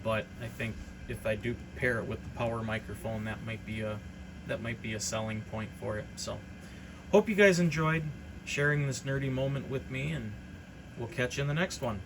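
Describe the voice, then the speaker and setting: A man's voice: medium pitch, normal speed, gravelly. Man chatting through a demo, noisy background. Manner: rambling, unscripted, matter-of-fact. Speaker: hobbyist radio reseller presenting a demonstration. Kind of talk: informal product demonstration.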